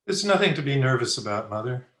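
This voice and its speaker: A man's voice: medium pitch, very animated, quick pace, a bit bright. A man playing a concerned, solicitous grown son in a radio play, recorded in an echoey room. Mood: comforting. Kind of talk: old-time radio play acting.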